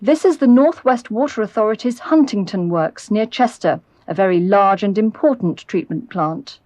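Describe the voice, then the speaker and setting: A British woman reading scripted narration for an educational film, explaining in a clear, measured way. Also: mid-range voice, expressive, warm tone, dry recording, lo-fi sound. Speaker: documentary narrator. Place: close, dry voice-over recording.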